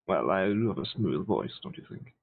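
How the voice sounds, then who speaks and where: Cheeky gamer guy joking in character, breathy voice, sounds like phone audio. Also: low voice, expressive, a little fast. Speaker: tabletop game player. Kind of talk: playful in-character roleplay banter. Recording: tinny online call audio.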